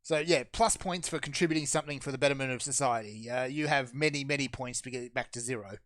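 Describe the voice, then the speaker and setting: A man hosting a casual gaming podcast, running through news with a brisk, sardonic manner. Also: medium pitch, a bit bright, no room sound. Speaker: podcast host. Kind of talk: casual chat.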